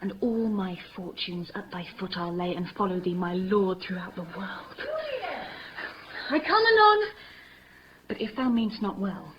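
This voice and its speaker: A woman's voice: mid-range voice, animated, unhurried, lightly acted. An actress playing an impulsive, tender young noblewoman in love, speaking theatrical Shakespearean verse in a 1950s radio play style. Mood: earnest, urgent. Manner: breathy, theatrical verse delivery, often hushed. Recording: noisy, dull vintage 1950s radio broadcast.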